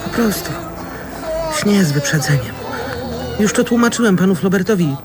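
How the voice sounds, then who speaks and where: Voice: medium pitch, animated, moderate pace, music in the background, close to an everyday voice. A performer playing an earnest, stubborn ten-year-old boy who acts tough, in a radio drama. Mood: earnest, awkward. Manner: earnest, boyish.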